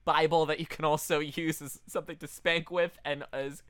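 A man's voice: a little high, level tone, moderate pace, fairly bright tone, smooth. A man co-hosting a comedy podcast, talking in informal, joking patter. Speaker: podcast co-host. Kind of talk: casual comedic banter, mock ad read.

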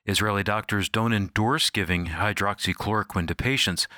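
A man's voice: lowish voice, moderate pace, bright, forceful tone, no echo, clean studio sound. A man announcing a radio program in a scripted style, recorded at home. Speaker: radio show announcer and co-host. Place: remote home recording, dry.

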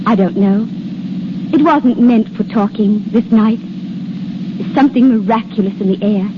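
A woman acts out a dreamy young girl, entranced and romantic, in a 1930s radio play. Her voice sits fairly high. The vintage broadcast is dull and clearly noisy. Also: a little slow, natural voice. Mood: dreamy, wistful. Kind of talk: 1930s radio drama acting.

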